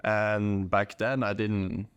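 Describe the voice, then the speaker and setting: A young man speaks in a low voice with a dry, close sound. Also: level tone, slow, a bit bright, clear, resonant tone, no echo, dull audio. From Norway. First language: Norwegian. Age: young adult. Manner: informal, slangy. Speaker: podcast guest, college soccer player. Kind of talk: casual interview chat.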